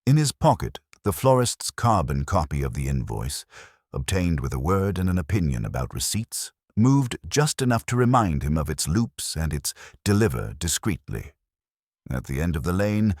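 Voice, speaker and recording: A man narrating a literary mystery story in a measured, wry, deliberate way. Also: sits low, big pitch swings, darkish tone, no room sound, studio quality.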